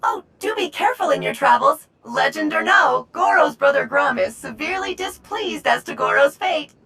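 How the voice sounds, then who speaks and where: High, chirpy, bright servant herald. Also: female voice, animated, normal speed, creaky, exaggerated voice. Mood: eager, deferential. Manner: effusive, formal, flowery speech. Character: chirpy, polite, excitable servant herald spreading gossip of a legend. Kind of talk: video game acting.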